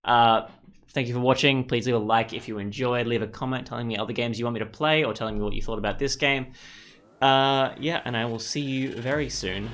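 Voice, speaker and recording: A man's voice: medium pitch, steady tone, fairly quick, a bit bright. A young man hosting a gaming video, giving casual walkthrough commentary and reading game dialogue aloud.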